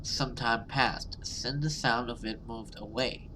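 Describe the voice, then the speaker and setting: Man, casual game streamer, bright forceful voice, halting and repetitive, slightly noisy recording. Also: mid-range voice, fairly even intonation. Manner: halting, repeats himself, exclaims and swears. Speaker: gaming video host. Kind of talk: casual let's play commentary, reading game text aloud.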